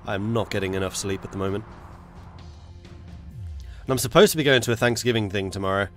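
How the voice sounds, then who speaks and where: A lower-voiced man streams a strategy game, his voice stuffy and congested from a cold. He chats with viewers and mutters his plans half to himself. Soft music plays faintly behind him. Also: brisk. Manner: chatty, muttering to himself while planning. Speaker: gaming streamer. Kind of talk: casual live gameplay commentary.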